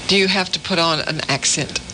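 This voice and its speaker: A woman hosting a casual radio interview, friendly and quick to laugh. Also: deep voice. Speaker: radio host. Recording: noisy small-town AM radio studio.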